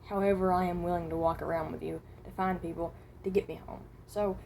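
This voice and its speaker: Woman acting as a resigned animatronic rabbit, slightly low voice, hesitant and halting, fan roleplay monologue, faintly noisy home recording. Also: steady tone, creaky, darkish tone. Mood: uncertain, willing. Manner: hesitant, halting, self-correcting.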